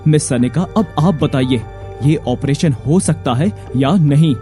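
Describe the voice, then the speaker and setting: An insistent male lead played by an actor in an audio drama, a well-off young family man. He speaks formally and directly, commanding yet worried, with music clearly playing behind him. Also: fairly high pitch, a little slow, mellow, close to an everyday voice. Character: commanding, anxious wealthy young father and grandson. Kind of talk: Hindi audio drama acting. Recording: music bed under voice. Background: dramatic background music.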